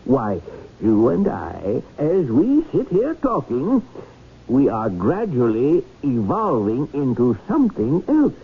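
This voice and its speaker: A man's voice: mid-range voice, huge pitch swings, slow, breathy edge, heavily acted. A man playing an earnest, fussy, mild-mannered adviser who explains things hesitantly in a 1970s radio drama. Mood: earnest, pondering. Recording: tinny phone-like 1970s radio recording.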